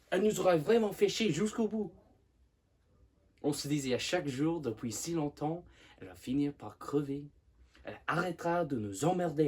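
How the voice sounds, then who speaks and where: Man acting out a furious, loud, bitter son. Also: medium pitch, husky. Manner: shouting, cursing, explosive. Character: enraged, grieving adult son, bitter and foul-mouthed. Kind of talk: theatre monologue.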